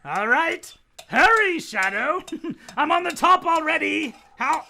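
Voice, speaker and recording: A man's voice: very high-pitched, big pitch swings, slow pace, vocal fry, pushed and bright, slight background noise, full character voice. A man playing a gleefully deranged, vengeful poisoner in a radio play, gloating and ranting theatrically. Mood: gloating, menacing.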